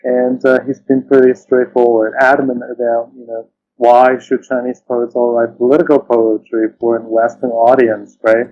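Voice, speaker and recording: A man's voice: medium pitch, level tone, moderate pace, darkish tone, dull audio, slight background noise. A man speaking as an interview guest and translator, thoughtful and hesitant, often rephrasing as he reflects.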